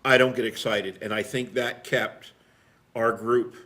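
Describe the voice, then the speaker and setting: A man's voice: medium pitch, steady tone, a little slow, fairly bright tone. A middle-aged man, a firefighter guest, talking casually and emphatically on a podcast and telling firehouse stories in a plainspoken way.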